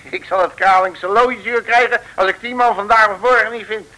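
An actor playing a cocky, folksy street detective in an old radio serial, talking back in boastful, colloquial style. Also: male voice, sits high, steady tone, moderate pace, bright, forceful tone, poor audio. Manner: colloquial, boastful, cheeky backtalk. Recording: hissy old radio recording.

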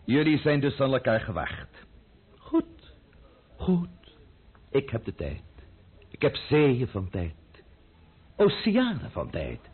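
An actor playing an imperious middle-aged count in a radio play, sardonic and grandiose, with a husky voice that booms when roused. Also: male voice, wildly swooping pitch, takes it slowly, pauses often, heavily acted. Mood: blustering, impatient. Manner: sardonic, grandiose, swinging from smooth to roaring. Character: imperious, blustering middle-aged count, powerful and quick to thunder. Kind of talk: Dutch radio drama acting. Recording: muffled, band-limited old radio recording.

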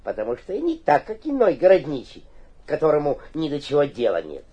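An actor playing a wily, fawning, middle-aged provincial town governor, corrupt and fearful, with a husky voice in a theatrical radio play. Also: male voice, a little high, wildly swooping pitch, brisk, muffled recording, exaggerated voice. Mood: ingratiating. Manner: fawning, swinging from pleading to sly muttering. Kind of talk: theatrical radio play acting with muttered asides.

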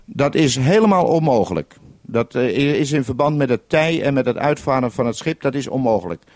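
A man's voice: mid-range voice, expressive, fairly quick, darkish tone, dry recording, studio quality. A man speaking in an instructive manner on a two-way radio link, giving step-by-step directions in a vintage 1970s broadcast. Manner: instructive, ends turns with radio procedure word. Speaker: radio programme maker on the mainland. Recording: 1971 radio broadcast recording.

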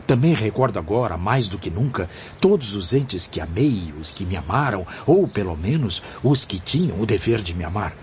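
A man's voice: on the low side, big pitch swings, normal speed, hardly any pauses, darkish tone, a little hiss or hum. An actor in a radio drama playing an impulsive male spirit who longs for home. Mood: yearning. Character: Mário, impulsive, longing male spirit missing his family. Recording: muffled lo-fi, faint background ambience.

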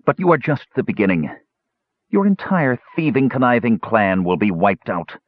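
A man's voice: mid-range voice, expressive, normal speed, no room sound, muffled recording, natural voice. Man as menacing dwarf sorcerer, cold clipped threats, dry close sound. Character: cruel hunchbacked dwarf sorcerer, male, vengeful, menacing.

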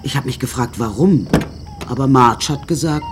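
A woman's voice: rumbling low, lively intonation, normal speed, background noise. An actress playing a vain, self-dramatizing woman artist who pompously narrates her life to listeners in a radio play. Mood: storytelling.

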